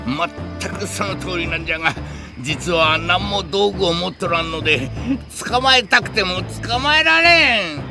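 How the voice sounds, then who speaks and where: High, creaky elderly professor. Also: male voice, lively intonation, moderate pace, music in the background. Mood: muttering. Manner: pompous old-man speech. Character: excitable, stubborn elderly professor on jungle expedition. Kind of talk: anime audio drama acting.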